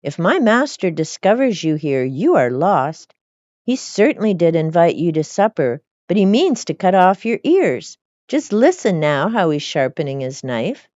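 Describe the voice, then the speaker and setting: A woman's voice: fairly low pitch, big pitch swings, a little slow, darkish tone, no room sound, muffled recording. A woman voicing a merry, greedy, sly female cook from a storybook, chirpy and wheedling as she talks herself round. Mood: sly, urgent. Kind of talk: storybook character reading.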